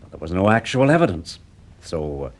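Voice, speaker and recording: A police inspector in an old radio play, with a very low voice. He is steady and reassuring, setting things out methodically. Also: brisk. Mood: grave explaining. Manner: soothing, methodical. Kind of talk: vintage BBC radio drama acting.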